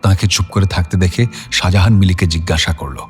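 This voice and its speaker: Low-voiced male story narrator. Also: steady tone, brisk, faint music behind. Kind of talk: scripted audio-story narration.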